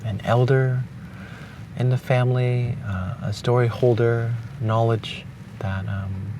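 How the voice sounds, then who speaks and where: A young man from Hong Kong with Cantonese as his native language, speaking slowly and thoughtfully with pauses and restarts, recorded outdoors on a beach at dawn. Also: medium pitch, fairly even intonation. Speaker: vlogger. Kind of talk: reflective spoken letter. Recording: distant outdoor mic with noise.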